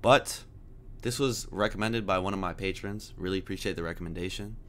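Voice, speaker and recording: A man's voice: sits low, a little fast, a bit bright. An American man hosting a reaction video in a laid-back, chatty way, his voice a bit off from a sore throat. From United States. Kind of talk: casual chat.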